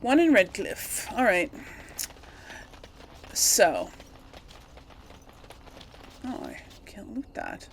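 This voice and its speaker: Woman rambling through casual game commentary, lively pitch. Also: drawn-out words, vocal fry, pauses often, slight background noise. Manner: rambling, talks to game characters. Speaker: host of a video game let's play. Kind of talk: casual gameplay commentary to an audience.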